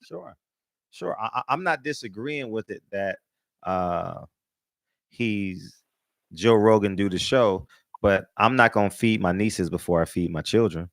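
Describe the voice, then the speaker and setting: A male host chats casually in a fairly low voice, laid-back and swinging between loud and soft. The recording is dry and close. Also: expressive, measured pace, gravelly, no echo. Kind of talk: casual talk show chat.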